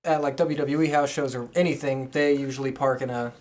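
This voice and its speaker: A woman's voice: very deep voice, fairly even intonation, a little fast, darkish tone. A woman hosting a podcast, casually chatting and asking questions of a co-host. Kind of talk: casual chat, interviewing a co-host.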